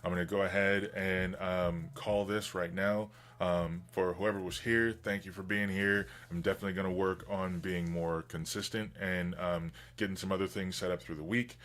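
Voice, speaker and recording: Slightly hoarse, low-voiced man streaming. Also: steady tone, moderate pace, hardly any pauses, fairly bright tone. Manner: enthusiastic, informal geek talk. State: slightly hoarse, getting over being sick. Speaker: livestream host. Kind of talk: casual rambling livestream chat.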